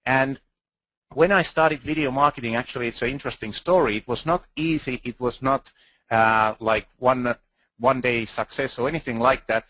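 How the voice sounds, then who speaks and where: Finnish man, conference speaker, earnest and halting, dry close sound, mid pitch. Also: pushed and bright, dry recording, poor audio. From Finland. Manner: earnest, halting, with restarts and fillers. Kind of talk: presentation talk.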